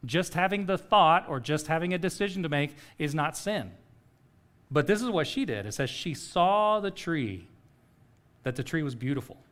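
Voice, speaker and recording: Middle-aged male pastor, a touch high-pitched, chatty sermon delivery. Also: expressive, normal speed, a bit bright. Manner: conversational, checks in with "okay?".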